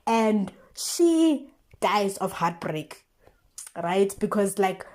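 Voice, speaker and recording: A young woman with a South African accent talks like a vlogger chatting to friends. Her voice sits at a medium pitch. She retells things with animated exclamations, slang and rhetorical questions, in a loose, conversational style. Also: extremely slow. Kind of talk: casual chatty storytelling commentary.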